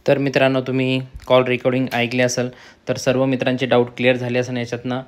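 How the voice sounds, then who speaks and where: A man's voice: mid-range voice, completely flat delivery, fast. A young man explains things informally to viewers, repeating himself and addressing them as friends. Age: young adult. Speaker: student making an exam and job information video.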